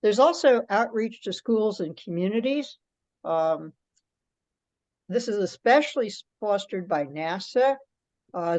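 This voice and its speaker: A woman's voice: medium pitch, a little mellow. A woman giving an explanatory, conversational presentation over a teleconference. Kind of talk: informal spoken presentation on a teleconference. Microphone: teleconference call. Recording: dull lo-fi conference call audio.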